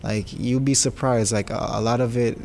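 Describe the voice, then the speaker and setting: A young man talks casually and enthusiastically, though his pitch stays fairly flat. Faint background noise sits under his voice. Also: moderate pace, gravelly. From New York. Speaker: student. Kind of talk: interview answer.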